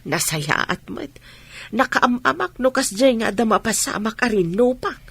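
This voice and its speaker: A woman acting a stern, worried mother in a radio drama, husky-voiced, with a Filipino accent. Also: fairly high pitch, animated, moderate pace, fairly bright tone, dry recording, exaggerated voice. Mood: concerned.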